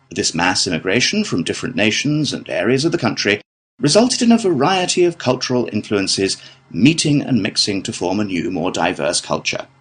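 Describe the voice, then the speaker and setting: A British man delivering a scripted academic lecture in a measured, formal manner. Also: on the low side, fairly bright tone, husky. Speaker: lecturer in a listening test.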